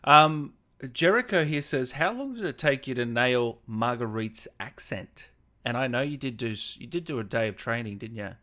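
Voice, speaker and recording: A man's voice: mid-range voice, expressive, moderate pace, pushed and bright. A man with an Australian accent asking questions as the host of a casual, conversational interview.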